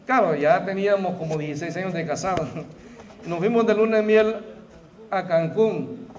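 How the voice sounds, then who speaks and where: A middle-aged Salvadoran pastor with a Salvadoran Spanish accent preaches to his church in a high, light voice. He keeps it conversational and talks straight to the congregation. The recording sounds dull and lo-fi. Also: moderate pace. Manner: conversational, addresses the congregation as "hermano". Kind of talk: sermon. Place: church hall.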